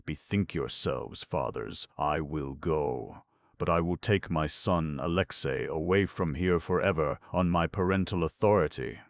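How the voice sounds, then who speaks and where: A man's voice: extremely low pitch, a little slow, no room sound, clean studio sound. A man putting on a boisterous old buffoon. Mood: jeering. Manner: shouting, jeering, theatrical.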